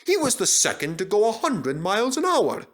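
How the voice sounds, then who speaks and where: A man's voice: high voice, wildly swooping pitch, moderate pace, voice pushed up, heavily acted. A man voicing a cheeky, tricky little tank engine with a mock-innocent manner, in a children's story. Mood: sly, chirpy.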